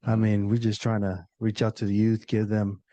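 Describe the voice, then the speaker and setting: Male podcast host, laid-back, low warm voice, dry close sound. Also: moderate pace, no room sound, highs cut off. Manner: relaxed, laid-back, lots of fillers. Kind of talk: casual interview chat.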